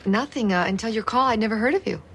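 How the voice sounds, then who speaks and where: A woman's voice: fairly high pitch, expressive, fast, a little hiss or hum. An actress playing a frazzled suburban wife and mother who grows indignant, in a naturalistic television drama. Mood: polite, eager.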